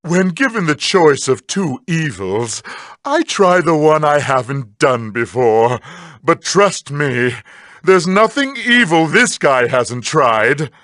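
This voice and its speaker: An actor playing a sardonic evil mad scientist villain in a game, with pompous, mocking, drawn-out theatrical delivery. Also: male voice, fairly high pitch, sing-song, fairly bright tone, dry recording, clean studio sound, full character voice. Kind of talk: scripted comedic in-character monologue.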